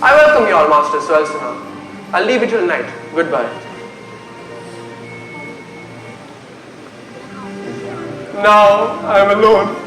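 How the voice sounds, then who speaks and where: A man's voice: high-pitched, very animated, moderate pace, exaggerated voice. A young man playing a brooding, anguished prince in a declamatory Shakespearean stage style. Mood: brisk, commanding. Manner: declamatory, dramatic. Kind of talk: theatrical Shakespearean stage acting. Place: school stage performance in a hall. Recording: echoey stage recording, loud music.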